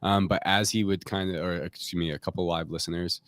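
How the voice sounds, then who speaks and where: A man with a deep voice talks casually as a guest explaining his company's product. His phrasing is informal, padded with loose hedging phrases. The sound is dry, with very little room in it. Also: little pitch movement, brisk, a bit bright, no echo. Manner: informal, hedging with frequent "like" and "kind of". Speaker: tech company representative, podcast guest. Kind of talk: casual product talk in an interview. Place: remote recording on a live stream call.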